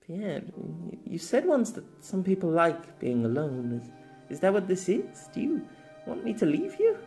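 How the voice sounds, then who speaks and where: Guy doing a hurt shy young woman for an audiobook, high voice, hesitant and pleading. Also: wildly swooping pitch, unhurried, darkish tone, soft background music, full character voice. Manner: hesitant, plaintive.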